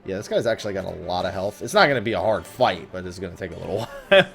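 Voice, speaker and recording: A man's voice: low-pitched, expressive, fast, creaky, soft background music. A man giving casual let's play commentary on a video game, swearing and griping with sarcasm. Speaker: host of a gaming let's play series.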